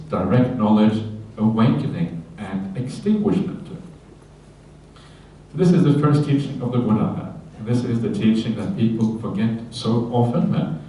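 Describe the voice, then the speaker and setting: Monk in an echoey hall. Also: male voice, on the low side, slight background noise. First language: Norwegian. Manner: relaxed, checks in with listeners with "yeah?", light humorous asides. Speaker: Buddhist monk teacher. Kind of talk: dharma talk on a sutta. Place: retreat hall. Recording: very echoey hall, voice from a distance, faint ambience.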